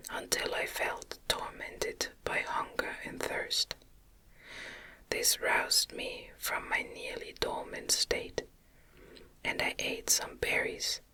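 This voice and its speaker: A woman reads a gothic novel in a gentle, soothing, breathy whisper close to the microphone, in an ASMR style. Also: very high-pitched. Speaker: audiobook reader.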